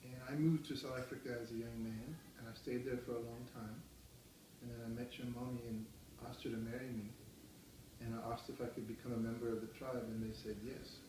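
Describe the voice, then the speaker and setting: A middle-aged man calmly explaining things to his child during a home interview, restarting phrases as he thinks. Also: mid-range voice, fairly quick, noisy background. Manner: calm, explanatory, gently correcting himself. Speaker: interviewee, parent. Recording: echoey room, mic far off.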